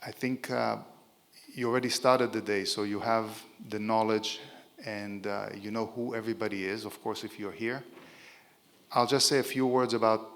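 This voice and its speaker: A man's voice: on the low side, little pitch movement, moderate pace, a bit bright. Israeli-accented man speaking in a reverberant hall. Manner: informal, joking, with many fillers. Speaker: keynote speaker. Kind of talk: conference speech. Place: large conference room.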